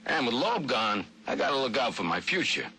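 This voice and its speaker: An actor playing a corrupt police insider angling for a payoff in a video game, sly and streetwise. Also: male voice, medium pitch, very animated, a little fast, bright, forceful tone, a little hiss or hum. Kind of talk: video game voice acting, audio log.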